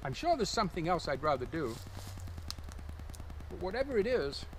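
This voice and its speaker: An older man talking casually to camera outdoors, musing with pauses and repeating words for emphasis. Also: on the high side, sing-song, low-quality recording. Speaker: landscape photographer, vlogger. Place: outdoors in woods and open field. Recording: outdoor ambient noise.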